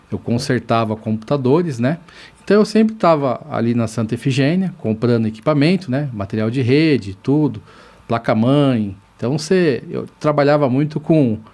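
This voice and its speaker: A man's voice: mid-range voice, dark tone. Male lawyer from São Paulo, 40s, podcast guest, rambling and thankful storyteller. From São Paulo, Brazil. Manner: rambling, grateful, self-correcting. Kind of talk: casual interview storytelling.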